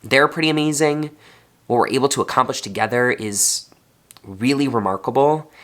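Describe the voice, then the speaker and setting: A man's voice: medium pitch, normal speed, fairly bright tone. A young man, a fourth grade teacher, talking earnestly in a personal vlog, sounding congested. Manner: earnest, heartfelt.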